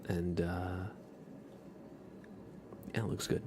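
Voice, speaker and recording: Soft, low-pitched ASMR streamer man. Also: little pitch movement, takes it slowly, background noise. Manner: soft-spoken, often dropping into a whisper. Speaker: livestream host. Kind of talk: relaxed ASMR gaming stream chat.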